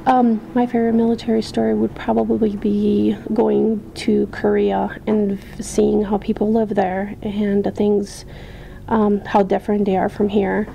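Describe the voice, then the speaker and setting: Gravelly, mellow woman with frequent ums. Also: mid-range voice, level tone, a little slow, muffled recording, a little hiss or hum. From Poland. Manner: hesitant, many ums, restarts. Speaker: interviewee, army veteran. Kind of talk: recorded interview answers.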